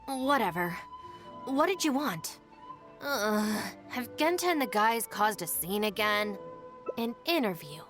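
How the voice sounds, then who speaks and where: Dry, level-headed young gang deputy. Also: female voice, medium pitch, sing-song, slow, over music. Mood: exasperated, wary. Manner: matter-of-fact, wry, unimpressed. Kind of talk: video game voice acting.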